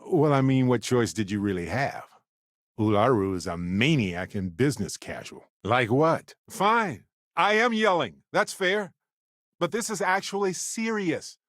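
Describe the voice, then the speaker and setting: A good-hearted, wisecracking space explorer in a video game. His voice swings freely up and down, chatty and wry. It sits at a medium male pitch and is recorded dry and close, with very little room. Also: unhurried, no echo. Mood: animated, excited. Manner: wry, chatty, playfully expressive. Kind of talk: video game character dialogue.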